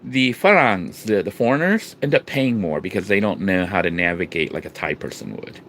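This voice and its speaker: A man from the United States talking casually to his vlog viewers, rambling with restarts and fillers and swinging between low murmurs and sudden emphatic bursts. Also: animated, a little fast, a bit bright, a little hiss or hum. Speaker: vlogger. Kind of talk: casual vlog talk to camera.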